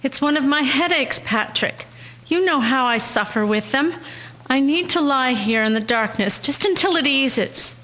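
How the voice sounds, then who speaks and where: A woman's voice: high voice, expressive, normal speed, a bit bright, rough recording. A woman acting an earnest, emotional young wife and mother in a historical audio drama. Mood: pleading. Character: anxious, pleading young wife and devoted mother, 1910s.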